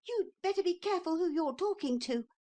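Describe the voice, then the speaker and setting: A woman voicing a polite but indignant little boy, high-pitched and quick. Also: lively intonation, dark tone. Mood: defiant.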